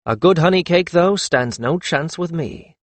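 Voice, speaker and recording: A man's voice: on the high side, expressive, measured pace, no room sound, pitched above the performer's own voice. A man reading the lines of a weary young dragon-rider leader with a dry, firm manner, in a slightly raised version of his own voice. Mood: wry.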